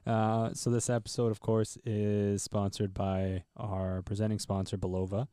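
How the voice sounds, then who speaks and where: A man's voice: lowish voice, level tone, unhurried, mellow, smooth, no room sound. A man hosting a podcast interview in a studio, earnest and encouraging as he talks with his guest. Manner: earnest, encouraging, speaks about men's struggles. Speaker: podcast host. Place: recording studio. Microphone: headset mic and headphones.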